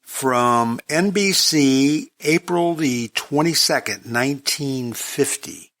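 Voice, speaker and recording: A man's voice: medium pitch, slow, a bit bright, soft background music. A man hosting in a casual announcing style, introducing vintage radio programmes. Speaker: podcast host introducing old radio shows.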